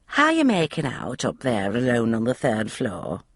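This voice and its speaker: A woman voicing a blunt, sharp-tongued older lady with a brusque, salty way of talking. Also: a bass voice, wildly swooping pitch, unhurried, no room sound, studio quality, full character voice.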